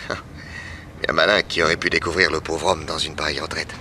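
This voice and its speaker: An actor playing a shrewd, commanding detective in an old French radio serial, brisk and authoritative. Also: male voice, a bass voice, little pitch movement, sharp tone, heavily acted. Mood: assured, urgent. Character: shrewd, commanding detective hero, an older uncle figure. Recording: noisy old radio recording.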